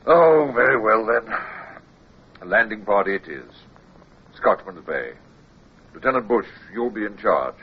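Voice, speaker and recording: A crusty, weary British naval captain in a radio drama. He grumbles with authority in a low, raspy voice. The recording sounds dull and dated. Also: expressive, measured pace, pauses often. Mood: resigned, authoritative. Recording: muffled old radio recording.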